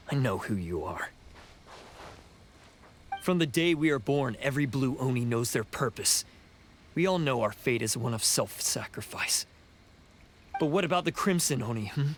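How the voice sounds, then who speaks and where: A man's voice: mid-range voice, animated, unhurried, long pauses, a little hiss or hum, lightly acted. A man doing a bitter, resentful blue oni bandit. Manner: bitter and accusing. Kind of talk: video game voice acting.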